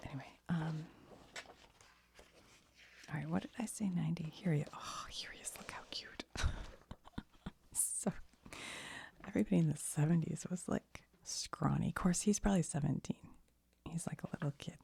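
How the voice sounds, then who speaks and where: A woman giving a soft-spoken, mostly whispered ASMR ramble, breathy and conversational, tentative and often trailing off. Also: low-pitched, normal speed, pauses often. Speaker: ASMR video host.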